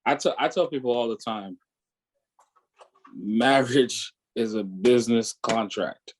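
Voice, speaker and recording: 30s guy on a podcast panel, mid voice, says it flat and firm. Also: medium pitch, measured pace, slight background noise, low-quality recording. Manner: firm, declarative. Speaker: panel guest. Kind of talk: casual panel discussion.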